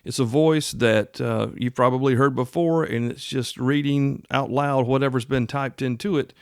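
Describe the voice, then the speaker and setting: A man's voice: mid-range voice, steady tone, normal speed, smooth, no echo, studio quality. A middle-aged man hosting a podcast, explaining things in an earnest, conversational, folksy way. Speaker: podcast host. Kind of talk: casual explanatory monologue.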